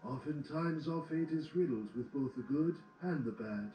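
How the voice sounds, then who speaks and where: A man playing a wise, kindly old wizard pony mentor in a fan audio drama, speaking formally and with measured care. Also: a little high, lively intonation, muffled recording, lightly acted. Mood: solemn, reassuring. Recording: echoey, faint noise.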